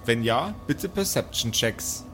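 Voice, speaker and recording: A man's voice: medium pitch, animated, slow pace, a bit bright. A man improvising narration as game master of a tabletop game, with mock-formal storytelling phrasing. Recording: music bed behind the voice.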